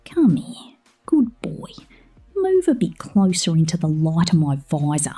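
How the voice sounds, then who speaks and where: Slow, mellow, whispering ASMR woman in character. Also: sits low, huge pitch swings, faint music behind. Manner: slow, breathy delivery slipping into whispers. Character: possessive, teasing young woman space mechanic, condescendingly maternal to robots. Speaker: ASMR roleplay performer. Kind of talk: ASMR character roleplay monologue, often whispered.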